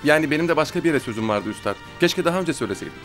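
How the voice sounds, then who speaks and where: An actor playing an easygoing, joking office colleague in a TV drama. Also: male voice, fairly high pitch, expressive, rapid-fire, fairly bright tone, soft background music. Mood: casual. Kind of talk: TV drama acting. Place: office, on set.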